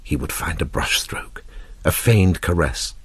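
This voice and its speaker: Male narrator for literary fiction: low, slightly husky voice, measured and expressive, with deliberate pauses. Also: no echo, whispered. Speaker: narrator reading a short story.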